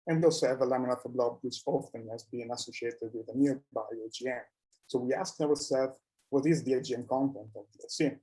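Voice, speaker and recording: A man presenting research in a scientific conference talk, with a halting delivery that often repeats words. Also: mid-range voice, mellow, low-quality recording.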